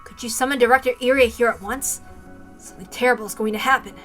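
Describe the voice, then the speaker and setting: A woman's voice: fairly high pitch, quick pace, fairly bright tone, faint music behind, close to an everyday voice. A woman voicing an exhausted, earnest male captive in a visual novel, questioning urgently. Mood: alarmed.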